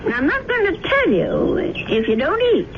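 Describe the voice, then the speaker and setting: A woman's voice: high-pitched, huge pitch swings, moderate pace, full character voice. A woman playing a kindly, fretful old landlady in a radio drama, chatty and fussy in a motherly, insistent way. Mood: fussing, concerned. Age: older. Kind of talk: 1970s radio drama acting. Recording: muffled, noisy old radio broadcast.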